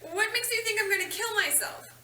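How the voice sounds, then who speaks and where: A woman playing a defensive, startled young goth woman, shrill and indignant, at a comedy table read. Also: brisk, bright, forceful tone, full character voice. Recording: echoey meeting room, noticeable hiss.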